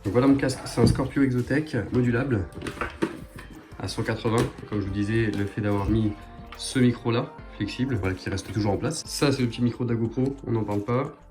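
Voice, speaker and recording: A man's voice: medium pitch, fairly quick, music in the background. A man talks informally as a motorcycle vlogger, explaining a product step by step in a hands-on review.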